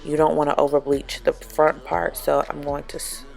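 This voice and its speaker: Young woman vlogger explaining step by step, raspy from being sick, low gravelly voice. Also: normal speed, faint music behind. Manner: steady explaining, step-by-step with fillers. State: raspy from recovering illness. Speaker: beauty vlogger. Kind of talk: wig review tutorial.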